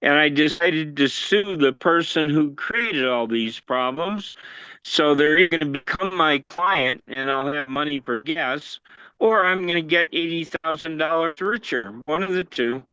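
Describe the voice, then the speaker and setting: A man's voice: on the high side, a little slow, pushed and bright, clear, resonant tone, no echo, highs cut off. A man talking in a wry, matter-of-fact way as host of a live-streamed show. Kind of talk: casual live-stream chat.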